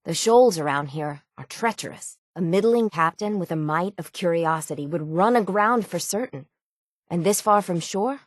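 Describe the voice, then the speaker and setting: An actress playing a weathered, stubborn female sailor in a video game, with a gruff, plainspoken old-salt way of talking. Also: lowish voice, lively intonation, moderate pace, dry recording. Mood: brooding. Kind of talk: video game character voice acting.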